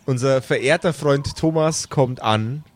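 A man's voice: medium pitch, measured pace, a little hiss or hum. A man narrating an improvised pen-and-paper audio drama as game master.

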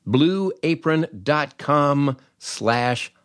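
A man's voice: on the high side, expressive, extremely slow, a bit bright, smooth, no echo, clean studio sound. An older man hosting a podcast in a chatty, wry, emphatic storytelling manner. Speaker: podcast host and former radio disc jockey. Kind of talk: conversational storytelling and host-read ads.

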